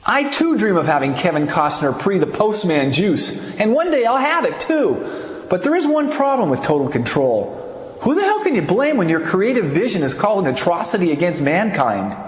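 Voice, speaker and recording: A male host gives sardonic, mock-grand introductions with a wildly animated pitch. His voice rings out in a reverberant hall over audible noise. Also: moderate pace. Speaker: talk show host. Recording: echoey reverberant room with noise.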